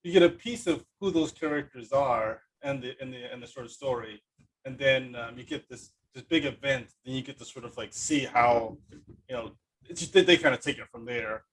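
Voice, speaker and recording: A man hosting a casual interview, asking rambling questions in a halting way. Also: mid-range voice, a bit bright, husky, low-quality recording. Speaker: podcast host, interviewer.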